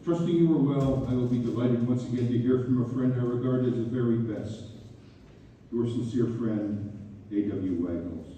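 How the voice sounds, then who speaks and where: A man's voice: mid-range voice, warm tone, close to an everyday voice. A man playing an elderly widowed father who reads out a formal, tender letter in a staged historical play. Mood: solemn, fond. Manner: formal, measured letter reading. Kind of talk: dramatic read-through of a historical play. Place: community theater hall. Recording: echoey hall, distant mic, faint noise.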